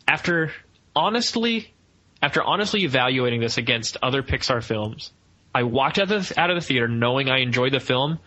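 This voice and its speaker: A man's voice: mid-range voice, pushed and bright, highs cut off. A man co-hosting a film review podcast, chatting casually with geeky enthusiasm. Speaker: podcast co-host reviewing films. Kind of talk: casual fan discussion.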